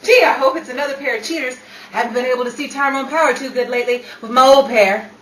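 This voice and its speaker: A woman's voice: high voice, fast talker, a bit bright. An actress playing a playful, glamour-loving 1950s Hollywood woman in an old-time radio comedy. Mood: excited. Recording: roomy, distant, noisy with crowd behind.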